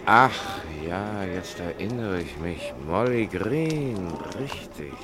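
An actor playing a polite, probing gentleman writer in old London, in a 1950s German radio drama. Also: low voice, sing-song, takes it slowly, exaggerated voice. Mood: discreet, probing.

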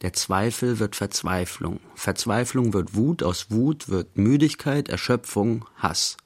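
An actor playing the narrator of a literary radio play, telling an artist's day in measured, short phrases. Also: male voice, on the low side. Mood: measured narrating. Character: narrator recounting an artist's day in present tense.

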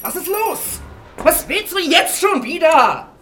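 A woman's voice: extremely high pitch, very animated, slow pace, over music, full character voice. An actress playing a tough, foul-mouthed, brusque woman in a radio drama, snappish and coarse. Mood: snappish, annoyed.

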